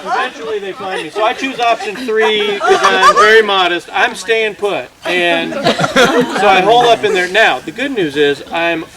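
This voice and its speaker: A man's voice: high voice, lively intonation, moderate pace. A man telling a comic story to a live audience, exaggerating and acting out the dialogue as he goes. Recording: loud audience laughter behind. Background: laughing crowd.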